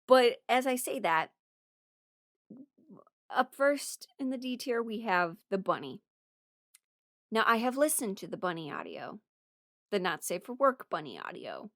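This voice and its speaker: A young adult woman rambling casually through her opinions as a video creator, expressive and emphatic, blunt but apologetic. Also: medium pitch, unhurried, long pauses, no room sound, studio quality. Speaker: video creator ranking characters.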